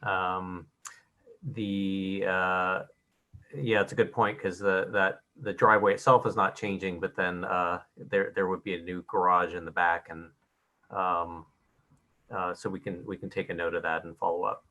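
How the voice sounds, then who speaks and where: A man on city planning staff, low and bright in tone, works through a project report at a public meeting. He talks with frequent uh and um fillers as he gathers his thoughts. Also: little pitch movement, unhurried, muffled recording. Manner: hesitant, many uh and um fillers. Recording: video call audio.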